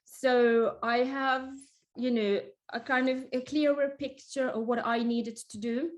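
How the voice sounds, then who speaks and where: A woman's voice: high voice, fairly even intonation, measured pace. A woman whose first language is Italian talks about her work on a video call. She rambles in a friendly, chatty way, trailing off and restarting often, with frequent "like" and "um". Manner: chatty, many fillers and restarts. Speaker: guest interior designer. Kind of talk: casual video call chat.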